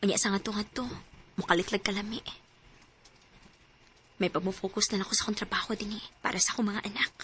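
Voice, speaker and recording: A woman's voice: medium pitch, little pitch movement, fairly quick, long pauses, noisy background, lightly acted. A Cebuano Filipino woman acting a lonely, hopeful separated mother in an audio drama, both in dialogue and first-person narration. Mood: pensive, murmuring.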